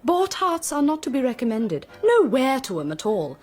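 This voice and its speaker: A sharp-tongued young heiress in a period radio play. Her fairly high voice is very animated, with frank, theatrical, finely articulated wit. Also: moderate pace. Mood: witty disdain. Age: 20s. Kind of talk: radio period drama acting.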